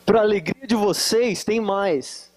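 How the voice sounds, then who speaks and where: A man with a high-pitched voice and a Minas Gerais accent, speaking as a guest preacher at a church conference. He talks in a relaxed, joking way and teases his listeners. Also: slow. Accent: Brazilian Portuguese, Minas Gerais. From Varginha, Brazil. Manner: joking, teasing the audience. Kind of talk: conference sermon with jokes. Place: conference hall with live audience.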